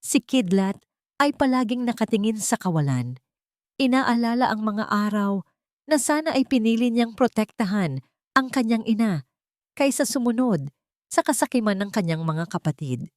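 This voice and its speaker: A woman narrating a dramatic audio story in a steady storytelling read. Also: a little high, normal speed, dry recording, clean studio sound.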